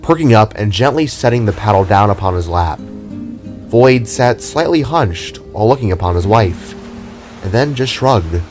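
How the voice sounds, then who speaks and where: A man's voice: on the low side, expressive, normal speed, a little mellow. A man reading scripted fantasy story narration as the narrator of an audio drama. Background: music.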